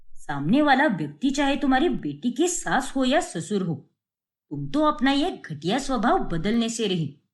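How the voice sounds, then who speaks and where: A woman's voice: on the high side, sing-song, a little slow, voice pushed up. A woman voicing a stern, exasperated older husband in a cartoon story, scolding as he talks. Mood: stern, angry. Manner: scolding, forceful wording.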